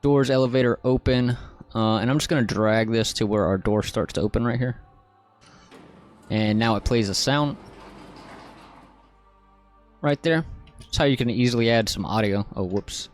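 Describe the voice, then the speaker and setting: A man with a medium-pitched voice hosts a software tutorial. He explains each step informally, in an everyday conversational style. Faint music plays behind his narration. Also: moderate pace, long pauses. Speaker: tutorial host.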